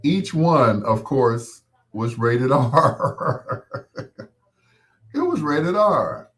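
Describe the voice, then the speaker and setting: A man's voice: medium pitch, very animated, very slow. An American man in his sixties hosting a casual live chat, reminiscing in a rambling, conversational way and repeating points for emphasis. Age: 60s. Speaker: host.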